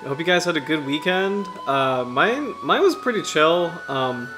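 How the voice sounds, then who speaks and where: A man in his thirties from the US Chesapeake Bay region, hosting a casual livestream in a halting, self-deprecating way, his throat slightly raspy from allergies. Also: on the high side, big pitch swings, over music. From United States, Chesapeake Bay region. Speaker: livestream host.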